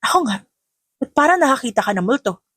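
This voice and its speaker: A woman's voice: sits high, very animated, fast talker, a bit bright, breathy edge, no echo, studio quality, heavily acted. A woman voicing a sassy, feisty young mother who is being courted, in a romantic audio drama. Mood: cold, indignant.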